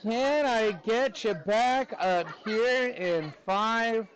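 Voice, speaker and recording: A man teaching a middle school class, talking to students in an informal, animated and encouraging way. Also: very high voice, very slow, highs cut off, some chatter behind.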